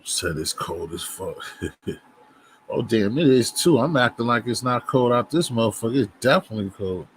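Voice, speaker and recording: A man's voice: medium pitch, expressive, normal speed, a little hiss or hum, poor audio. Boston dude on a livestream, rambling chat with his viewers, lots of restarts. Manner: loose, rambling talk to viewers, frequent restarts. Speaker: livestream host.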